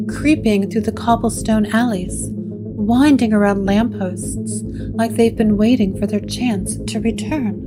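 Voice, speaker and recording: A woman narrating a gothic audio drama in slow, hushed, dramatic storytelling. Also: medium pitch. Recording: guitar music under the voice.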